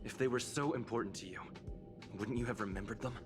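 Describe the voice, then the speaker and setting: A man's voice: medium pitch, fast talker, music in the background, close to an everyday voice. A man playing a stern, commanding voyager in a video game, solemn and admonishing. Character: stern, commanding male voyager giving warnings.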